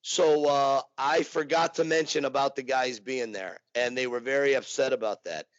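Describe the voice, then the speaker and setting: A man's voice: mid-range voice, fairly even intonation, normal speed, smooth, no room sound. A man telling rambling, joking stories as a guest in a casual interview. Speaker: tour guide, documentary subject. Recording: dry, muffled remote audio.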